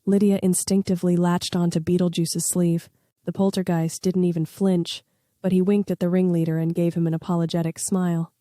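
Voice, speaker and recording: A woman's voice: on the low side, little pitch movement, fairly quick, warm tone, no room sound, clean studio sound. A woman reading a scripted story aloud as its narrator.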